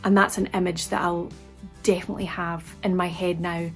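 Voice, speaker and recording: A woman's voice: on the low side, level tone, normal speed, soft background music. A Scottish woman from Caithness giving reflective interview talk. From Caithness, northern Scotland. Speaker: project team member.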